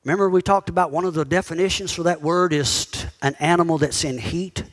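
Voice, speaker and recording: A man's voice: fairly high pitch, a little fast. A male pastor giving a sermon in a conversational style, calling out to his congregation and asking them pointed questions. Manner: conversational preaching that calls out to the congregation; asks the listeners pointed questions. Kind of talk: sermon teaching.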